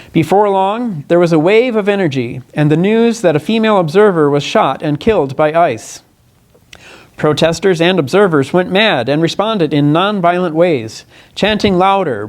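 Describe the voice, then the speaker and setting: A man giving a prepared press conference statement, speaking in an earnest, deliberate way with halts and self-corrections. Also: high voice. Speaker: congressional candidate. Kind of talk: press conference statement, partly read aloud.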